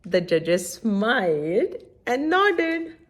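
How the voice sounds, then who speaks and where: A woman reads a children's picture book at a slow pace with lively, varied pitch. She mixes the reading with simple asides to her young listeners. She often checks that they are following along. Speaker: children's story-time reader and host.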